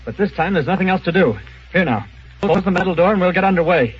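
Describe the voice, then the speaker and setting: Fast-talking space hero, muffled radio. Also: male voice, sits high, animated. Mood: determined. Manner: urgent, commanding. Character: brisk, decisive male space hero. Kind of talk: 1930s radio serial acting. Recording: muffled old radio recording.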